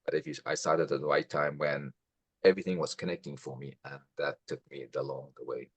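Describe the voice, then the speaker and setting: A man in his fifties from Trinidad, a karate instructor reminiscing casually in a halting way as an interview guest. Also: extremely low pitch, steady tone, husky, no room sound. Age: 50s.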